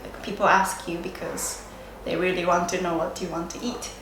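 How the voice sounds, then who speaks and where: A woman from Japan with a Japanese accent, a native Japanese speaker giving reflective, unscripted interview answers, hesitant and searching for words with frequent pauses. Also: on the low side, level tone. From Sendai area, Japan. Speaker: interviewee, yoga and Japanese teacher. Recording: roomy echo, clear background noise.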